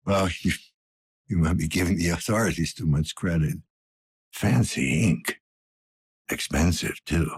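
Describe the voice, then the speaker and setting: A man playing a weary, gruff older police detective in a cyberpunk video game, speaking tersely in a low-key, world-weary way. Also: measured pace, pauses often, no echo, heavily acted. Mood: wry. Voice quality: husky, gravelly.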